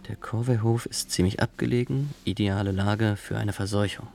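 Gravelly, formal, methodical male inspector. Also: lowish voice, steady tone, darkish tone. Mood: solemn, methodical. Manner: clipped, formal, methodical, deliberate. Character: young, earnest, zealous government inspector, stiffly formal. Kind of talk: radio drama, dictated case log monologue.

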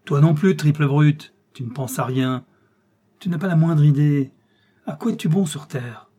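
A man voicing a gruff, hot-tempered, hard-drinking old Russian general in an audiobook, blustering and barking his orders. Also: medium pitch, normal speed, darkish tone, natural voice. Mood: furious, scornful. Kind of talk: audiobook character dialogue.

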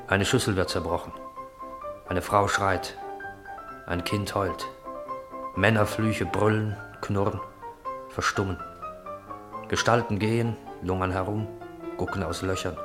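A man narrating a radio play, reading literary scene descriptions in a measured way. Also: low-pitched. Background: music.

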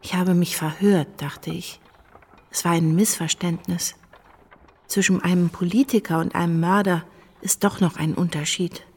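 An actress playing a reflective woman recalling revolutionary times in a radio play, in measured, sober first-person narration. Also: lowish voice, fairly even intonation, darkish tone, breathy edge. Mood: pensive.

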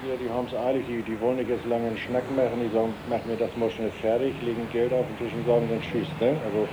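A man's voice: medium pitch, flat delivery, fast talker, highs cut off. A middle-aged man recalling things casually in an interview. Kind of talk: casual spoken recollection. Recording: noisy old cassette, traffic sounds.

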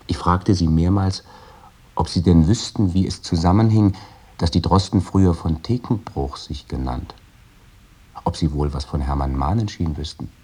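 A tender, devout man murmurs drowsily in a literary radio play. His voice is very deep and mellow, with a husky grain, and his manner is hushed and intimate. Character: tender, devout man reading a letter to his beloved "little mother".

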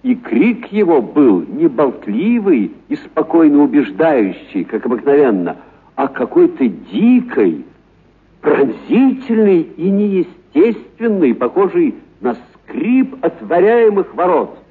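A man narrating a literary story for radio in a dramatic, expressive storytelling manner. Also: a little high, slow pace, slightly rough. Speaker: narrator, actor reading a story. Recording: narrow, muffled radio-broadcast sound.

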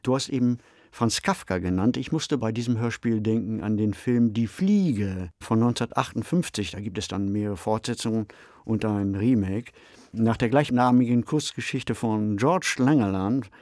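A man's voice: fairly low pitch, moderate pace, darkish tone, no room sound, studio quality. A man, co-hosting a podcast in a reflective, articulate conversational style. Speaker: podcast co-host. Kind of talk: conversational discussion of radio drama.

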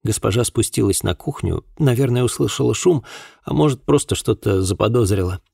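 A man voicing a distressed young housemaid in an audiobook, speaking in a halting, plaintive, anxious way. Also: medium pitch, vocal fry, a little mellow, breathy edge, no echo, clean studio sound, voice pushed up. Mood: agitated, aggrieved. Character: distressed young housemaid woman recounting an assault. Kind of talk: acted audiobook dialogue.

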